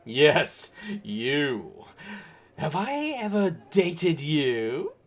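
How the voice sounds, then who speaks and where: Husky, flamboyant showbiz choreographer. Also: female voice, low-pitched, wildly swooping pitch, exaggerated voice. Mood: blasé, grand. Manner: theatrical, grand, drawn-out delivery. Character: melodramatic, flamboyant, self-important showbiz choreographer named Milford. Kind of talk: scripted audio comedy drama acting.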